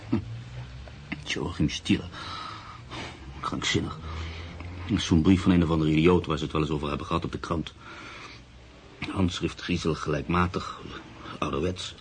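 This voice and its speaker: A man playing a proud, brooding newspaper writer in a radio drama, with measured, literary delivery. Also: rumbling low, fairly even intonation. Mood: sceptical musing. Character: proud, brooding male newspaper writer, sceptical and easily unsettled. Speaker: actor. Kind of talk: radio drama acting, monologue and narration. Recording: radio play with clear background noise.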